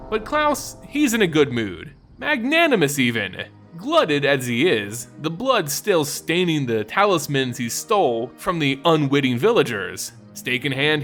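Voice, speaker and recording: A man with a slightly high voice narrates fantasy lore as host. His delivery is theatrical and swings widely in pitch, with soft music underneath. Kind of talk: dramatic reading of fantasy lore. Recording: music behind the voice.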